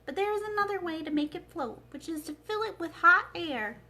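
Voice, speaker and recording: Woman acting out an emphatic, high-pitched weary humbug wizard. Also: expressive, fairly quick, bright, forceful tone, full character voice. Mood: earnest, explaining.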